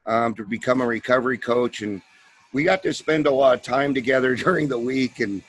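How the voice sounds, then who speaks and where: A man's voice: medium pitch, fairly quick, slightly rough. A man hosting a recovery talk show, speaking in a heartfelt, conversational way. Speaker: podcast host. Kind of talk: casual interview chat.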